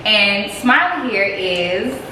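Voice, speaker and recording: A woman's voice: medium pitch, expressive, takes it slowly, bright, forceful tone, slight background noise. A woman, hosting a playful, slangy casual chat. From Jacksonville, Florida. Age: 20s. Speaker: host. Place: indoor room under hot lights. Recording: echoey room, mic at a distance.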